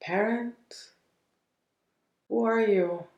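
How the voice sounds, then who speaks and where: A woman leading a guided meditation in a gentle, unhurried manner, leaving long pauses between phrases. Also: a little high, creaky, reverberant, lo-fi sound.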